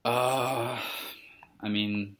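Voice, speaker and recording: A young man co-hosting a casual sports podcast, talking in a relaxed, rambling, somewhat monotone way. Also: medium pitch, very slow. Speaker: podcast co-host.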